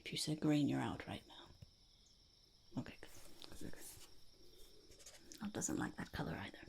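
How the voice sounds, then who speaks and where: A woman voicing an eccentric, self-important goblin conducting a whispered ASMR interview, halting and fussy, with a creaky, gravelly voice. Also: low voice, expressive, a little mellow, full character voice. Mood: probing, quizzical. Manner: halting, fussy, self-repeating, refers to self in third person. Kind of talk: ASMR roleplay, whispered and soft-spoken interview.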